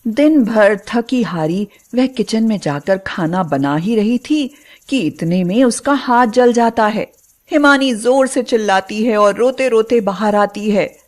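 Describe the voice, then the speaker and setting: A woman's voice: a little high, animated, unhurried. A woman narrator reading a scripted dramatic family story.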